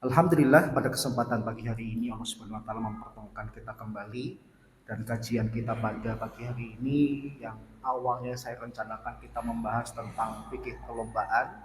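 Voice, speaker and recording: A man preaching a study lecture in a mosque, speaking with a sore throat in a steady teaching tone and reciting Arabic prayers and sayings. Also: medium pitch, fairly quick. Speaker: Islamic preacher. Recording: distant voice with long hall reverb and faint noise.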